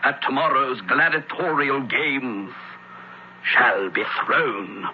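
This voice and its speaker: Stern Roman judge, grandiose, drawn-out delivery, dramatic pitch swings, radio play, noisy muffled tape. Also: male voice, lively intonation. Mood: solemn, ominous. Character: stern Roman judge solemnly pronouncing a death sentence. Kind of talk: 1970s radio drama acting.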